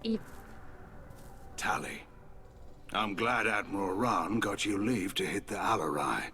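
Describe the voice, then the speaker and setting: An actor playing a blunt, gruff but loyal alien military admiral in a video game. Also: male voice, fairly low pitch, measured pace, sharp tone, soft background music. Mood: blunt, supportive. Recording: clear background noise.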